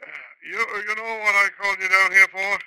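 An actor playing a self-satisfied, scheming 19th-century governor in a 1950s radio drama, with a folksy, self-important manner. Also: male voice, sits high, level tone, brisk, pushed and bright, smooth, no room sound, rough recording. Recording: vintage 1950s radio recording, dry studio.

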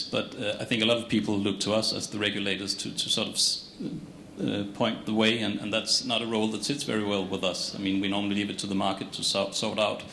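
A man's voice: fairly low pitch, creaky, fairly bright tone, slight background noise. A man speaking as a regulator on a conference panel into a handheld mic, hedging with restarts. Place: large conference room with audience. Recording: echoey hall, faint ambience.

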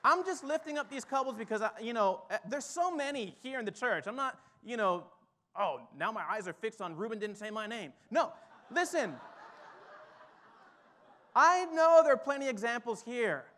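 A man in his thirties preaching a sermon from a church stage on a headset mic, moving from quiet reflection to fired-up exhortation. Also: very high-pitched, lively intonation, moderate pace. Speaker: pastor. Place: church stage with drum kit, indoors.

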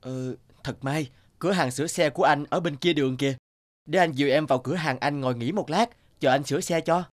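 A man voicing a kind, passionate young repairman in a cartoon, courteous and calm, with a Northern Vietnamese accent. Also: a little high, brisk, natural voice. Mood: reassuring. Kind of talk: animated cartoon dialogue.

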